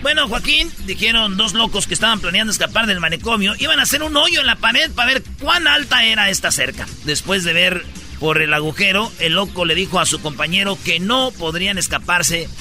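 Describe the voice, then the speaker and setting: A man's voice: high-pitched, brisk, bright, forceful tone, soft background music. A man hosting a comic radio show, jokey and teasing in his banter.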